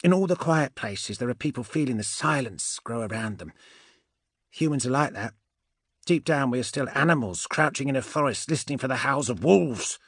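A male narrator puts on a cool, mocking witch woman from a science fiction story. The voice is raised high and swings widely in pitch. She speaks with wry, portentous certainty, as one who knows more than others. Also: expressive, moderate pace, a bit bright, dry recording, clean studio sound. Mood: knowing. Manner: wry, portentous, sure of herself.

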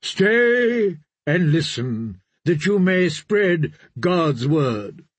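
A man voicing a fervent male priest of a sea god, preaching in a slow, solemn way. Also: on the high side, sing-song, breathy edge, no room sound, exaggerated voice.

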